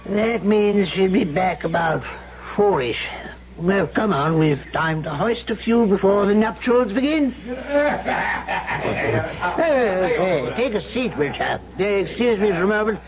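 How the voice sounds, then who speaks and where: A rascally male trader in an old radio drama, wheedling and jaunty. His voice sits fairly high and swings about freely, on a dull vintage broadcast recording. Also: animated, takes it slowly, a crowd in the background. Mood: breezy. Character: sly, breezy scheming trader, rascally matchmaker. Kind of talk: 1970s radio drama acting.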